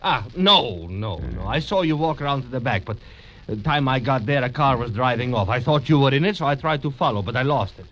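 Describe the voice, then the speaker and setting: An actor playing an earnest, cultured doctor with florid, insistent delivery in a 1940s radio drama. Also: male voice, mid-range voice, expressive, fairly quick, a bit bright, a little hiss or hum, natural voice. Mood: defensive. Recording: muffled vintage radio recording.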